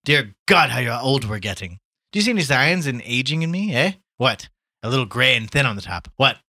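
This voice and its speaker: A man's voice: mid-range voice, sing-song, a little fast, sharp tone, pitched above the performer's own voice, exaggerated voice. A man voicing a jovial, boastful journalist in a storybook, hearty and exclamatory. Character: loud, swaggering, jovial male Irish journalist back from London.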